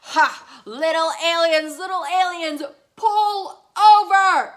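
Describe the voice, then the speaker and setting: A big bad storybook robot, played by a woman, with a high, bright voice. It is bossy and menacing, drawing out its threats in a loud chant. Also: exaggerated voice. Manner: drawn-out, chanting, menacing calls.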